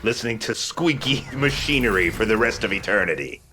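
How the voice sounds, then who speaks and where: A man's voice: lowish voice, expressive, a little fast, bright, forceful tone, faint music behind. A man giving casual, joking, chatty commentary while playing a video game. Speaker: let's play host playing the game.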